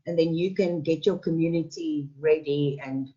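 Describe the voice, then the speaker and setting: A woman's voice: low-pitched, steady tone, moderate pace, dark tone, breathy edge, lo-fi sound. A woman, a radio presenter, chatting and reassuring a caller in a supportive way. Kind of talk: phone chat.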